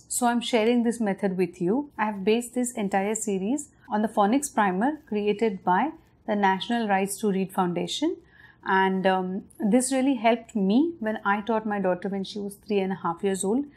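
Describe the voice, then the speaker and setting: A woman's voice: medium pitch, darkish tone. A woman in her thirties from India with an Indian English accent, patiently explaining a step-by-step home reading method to parents, with friendly fillers and self-corrections, recorded on camera in her living room. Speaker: parenting channel host. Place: living room at night in front of a large window.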